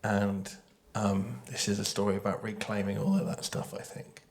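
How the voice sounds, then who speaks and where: A man's voice: lowish voice, level tone, normal speed, darkish tone. Man speaking thoughtfully on a panel. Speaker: writer on a panel. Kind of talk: panel discussion, speaking off the cuff. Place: live panel at a games festival. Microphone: handheld or shared panel microphone.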